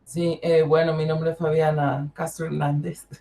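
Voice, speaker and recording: A woman's voice: deep voice, level tone, normal speed, warm tone. Candid Mexican woman on a panel. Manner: candid, conversational, many fillers and restarts. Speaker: panel guest. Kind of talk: online panel discussion.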